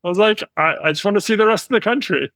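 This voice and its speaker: An American man, a company founder, telling travel stories as an interview guest with lively delivery and frequent fillers. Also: sits high, fast, no room sound, clean studio sound. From United States. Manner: animated storytelling with frequent fillers. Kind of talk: conversational interview.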